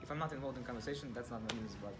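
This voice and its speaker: A man's voice: mid-range voice, very fast, loud music behind the voice. A young man from Kazakhstan, answering interview questions in a hesitant, guarded way. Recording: noisy background.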